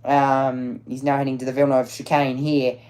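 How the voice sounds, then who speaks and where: A woman with a notably low, deep voice calls a motor race qualifying session live. Her delivery is chatty and loose, filled with ums, and she corrects herself on the fly as she goes. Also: flat delivery, moderate pace, dark tone. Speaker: motorsport commentator.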